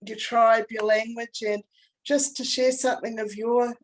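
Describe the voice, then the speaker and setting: A woman's voice: fairly high pitch, little pitch movement, unhurried, low-quality recording. A woman with a New Zealand accent, the club manager, chatting with guests on a video call with many ums and restarts. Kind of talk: warm online yarn with guests.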